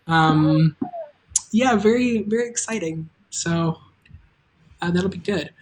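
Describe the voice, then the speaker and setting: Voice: mid-range voice, takes it slowly, low-quality recording. A host chatting casually on a livestream, making announcements in a loose, informal way that trails off mid-thought. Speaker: host and creator of an audio drama.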